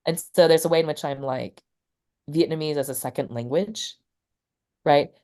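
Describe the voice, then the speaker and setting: A woman's voice: deep voice, moderate pace, mellow. A woman poet and teacher talking casually in an interview, rambling and full of fillers like "like" and "you know.".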